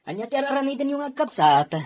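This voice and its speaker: A man playing an easygoing married man in a radio comedy drama, with a husky voice. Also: very high voice, big pitch swings, fast talker, darkish tone, a little hiss or hum. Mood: mildly surprised. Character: married man, easygoing husband and brother-in-law.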